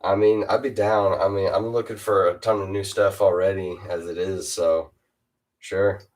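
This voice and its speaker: Teen guy with a super deep voice. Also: steady tone, normal speed, darkish tone. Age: teens. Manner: hesitant, lots of uh and restarts. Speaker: host interviewing a fellow rapper. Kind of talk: casual chat.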